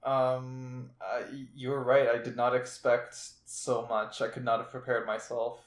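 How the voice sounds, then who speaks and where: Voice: mid-range voice, little pitch movement, a little slow, roomy sound. A young adult friend chatting casually after taking part in a group reading. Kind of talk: casual chat.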